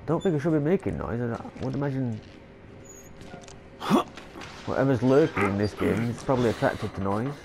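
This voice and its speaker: A man's voice: medium pitch, animated, normal speed, vocal fry, pauses often, a little mellow, slight background noise. A man, stuffy from a cold, commentating casually on a horror game and stumbling over long words as he reads notes aloud. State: congested with a cold. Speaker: gameplay commentator. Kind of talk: casual let's play commentary.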